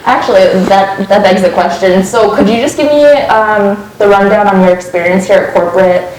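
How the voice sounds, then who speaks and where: Young woman interviewer, friendly chatty questions, kinda echoey room, mid pitch. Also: brisk, darkish tone, distant mic, a little hiss or hum. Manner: friendly, chatty, upbeat prompts. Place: office lounge, indoors. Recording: roomy echo.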